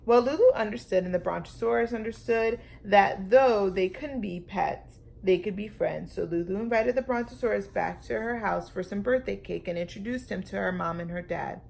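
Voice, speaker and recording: A woman reading a children's story aloud with expressive, deliberate phrasing and dramatic emphasis. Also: medium pitch, vocal fry, a little hiss or hum. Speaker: storytime reader.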